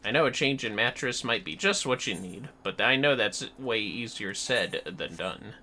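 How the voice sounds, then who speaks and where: A man's voice: fairly low pitch, animated, normal speed, pushed and bright. A man chatting casually on a game livestream, musing aloud and swearing mildly now and then. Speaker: livestreamer playing a video game.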